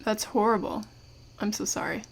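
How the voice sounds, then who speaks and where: An actress playing a curious, courteous investigative podcaster in an audio drama, speaking conversationally. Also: female voice, medium pitch, moderate pace, gravelly. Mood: sympathetic. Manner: courteous, conversational, addresses listeners directly. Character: curious, polite female investigative podcaster among zombie fighters.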